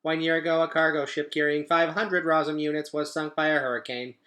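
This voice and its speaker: A man's voice: on the high side, little pitch movement, a little fast, pushed and bright. A man voicing a cold, commanding robot enforcer in a children's storybook, giving clipped official orders. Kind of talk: children's book read-aloud.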